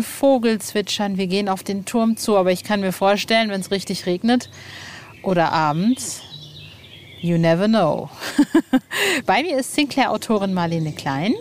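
A woman's voice: medium pitch, darkish tone. A woman hosting a podcast reportage on the move, speaking in an informal, chatty and curious way. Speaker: podcast host. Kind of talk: casual on-location reportage. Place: on foot around a castle. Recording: noisy location recording with ambience.

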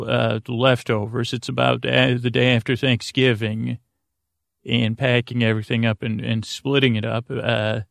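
A middle-aged man delivers a meandering bedtime monologue as a podcast host, halting often and looping back on himself. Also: medium pitch, creaky, no echo, clean studio sound. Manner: hesitant, halting, trails off and loops back.

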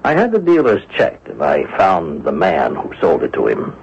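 An actor playing a skeptical, dry police inspector in a radio drama, with a wry, drawling delivery. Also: male voice, deep voice, wildly swooping pitch, moderate pace, creaky, darkish tone, slightly rough, full character voice. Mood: musing. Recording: muffled radio recording with hiss.

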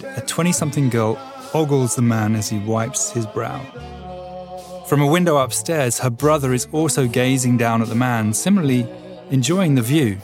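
A man hosting a podcast, narrating a scripted intro and then asking questions conversationally with fillers. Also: mid-range voice. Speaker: podcast host.

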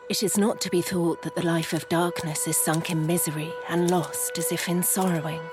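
An actress playing a solemn, ominous orator of darkness, speaking in a measured, incantatory way in a gothic audio drama. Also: female voice, fairly low pitch, fairly even intonation, natural voice. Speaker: actor in scripted audio drama. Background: music.

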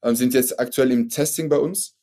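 This is a man with a Luxembourgish accent whose first language is French, chatting as a podcast guest. His voice is dark and mellow. He pauses often and circles back with fillers and restarts as he explains. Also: mid-range voice. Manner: halting, many fillers and restarts. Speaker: startup founder guest. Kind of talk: casual podcast interview.

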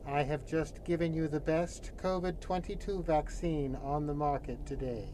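An actor playing a calm, formal doctor with a measured, reassuring manner in a radio horror play. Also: male voice, on the high side, a little hiss or hum, natural voice, poor audio. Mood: coolly reassuring. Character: calm, formal, quietly sinister male doctor. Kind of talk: old-time radio horror acting.

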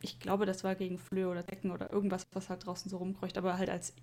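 A woman's voice: medium pitch, monotone, quick pace, warm tone. A young woman chatting casually, telling a story and quoting people with little laughs. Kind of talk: casual chat.

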